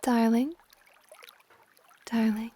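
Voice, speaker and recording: A woman playing a possessive, tender dragon goddess in an intimate ASMR roleplay, speaking softly and breathily, often near a whisper. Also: a little high, level tone, a little hiss or hum. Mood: possessive, adoring. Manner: soft, breathy, slow, often dropping to whispers.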